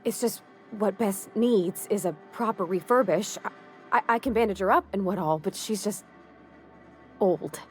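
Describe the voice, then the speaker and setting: A woman plays a young female mechanic in a video game, shy and deferential but keen to help. Her speech is folksy and hesitant, stumbling into stammers. The pitch sits in the middle, with faint music underneath. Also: normal speed, natural voice. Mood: flustered, apologetic. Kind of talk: video game character acting.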